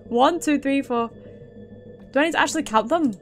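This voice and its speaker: A woman with a high voice and a British accent commentates casually on a horror game as she streams it. She thinks out loud, swears freely and reacts to what she finds. Faint game music plays behind her. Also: moderate pace. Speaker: livestreamer playing a game.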